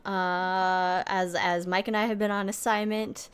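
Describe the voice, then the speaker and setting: A woman's voice: medium pitch, flat delivery, measured pace, clean tone. A woman co-hosting a podcast in casual chat, rambling and laughing easily. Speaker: podcast co-host.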